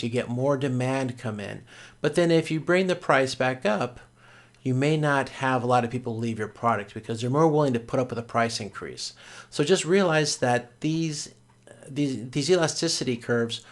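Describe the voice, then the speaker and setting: A man's voice: medium pitch, fairly bright tone. A man teaching as a course instructor in an informal recorded lecture, explaining step by step with everyday examples.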